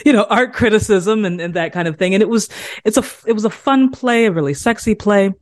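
A woman from South Carolina, a playwright guest talking through her work in a relaxed interview. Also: medium pitch, brisk, no echo. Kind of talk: casual interview.

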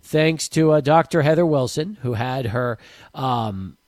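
A man's voice: mid-range voice, a little slow, darkish tone, no room sound, studio quality. A man hosting a sports radio show, talking in a loose, rambling way with many restarts and repeated words. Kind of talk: casual radio interview.

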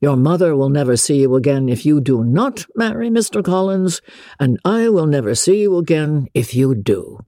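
A reader voicing a calm, teasing middle-aged gentleman father in a deadpan, measured way for a storybook. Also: medium pitch, no echo, studio quality. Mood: dry, wry.

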